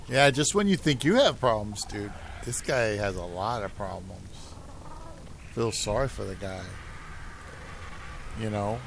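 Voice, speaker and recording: A man's voice: on the low side, creaky, a little hiss or hum. A man streaming a video game, rambling casually and musing aloud as he plays. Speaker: gaming streamer. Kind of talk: casual gameplay commentary.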